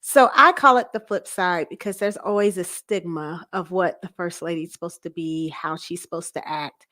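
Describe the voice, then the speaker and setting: A woman's voice: fairly low pitch, moderate pace, sharp tone. A woman speaking as an interview guest in a conversational, plainspoken and self-assured manner. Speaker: guest, pastor's wife.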